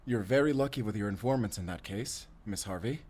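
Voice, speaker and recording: A man's voice: lowish voice, brisk, darkish tone, husky. A man playing a menacing yet deferential underboss in a crime ring, speaking formally in an audio drama. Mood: polite, deferential. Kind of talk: scripted audio roleplay acting.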